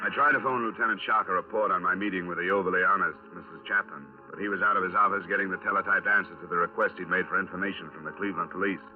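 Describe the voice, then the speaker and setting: A man acting a wry, hard-boiled American insurance investigator from an old radio serial. The voice is low and the delivery quick and clipped. It comes through tinny, like a vintage 1950s broadcast. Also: steady tone, faint music behind, lightly acted. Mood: matter-of-fact. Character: brisk wry male insurance investigator narrating his case.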